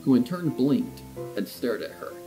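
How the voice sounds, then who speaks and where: A man's voice: mid-range voice, big pitch swings, moderate pace. A man narrating a scripted story as an audiobook-style reader. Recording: soft music behind the voice.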